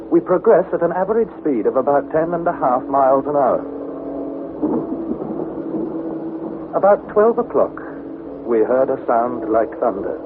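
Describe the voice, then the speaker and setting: An actor playing an earnest young explorer, narrating his expedition in measured, dramatic vintage radio style. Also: on the high side. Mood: subdued journal. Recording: tinny, hissy vintage radio broadcast.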